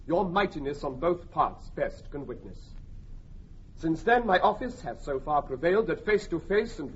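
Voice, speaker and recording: Husky, solemn noble duke. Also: male voice, on the high side, a bit bright. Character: earnest noble duke, solemn diplomatic peacemaker. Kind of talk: classic Shakespearean radio acting. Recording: muffled old radio recording.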